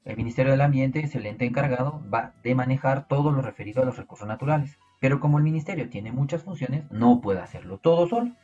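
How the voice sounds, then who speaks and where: A man's voice: mid-range voice, expressive, fast talker, hardly any pauses, a little mellow, muffled recording, soft background music. A man with a Peruvian Spanish accent teaching an online biology class, explaining step by step and asking his students rhetorical questions. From Peru.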